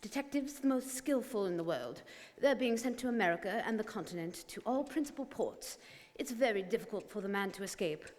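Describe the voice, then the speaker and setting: Assured English club gentleman played by a woman, echoing. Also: medium pitch, lively intonation, fairly quick, lightly acted. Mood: assured, contrary. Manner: formal, assured, precise. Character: confident, argumentative English club gentleman at cards. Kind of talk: stage radio drama acting. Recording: reverberant hall.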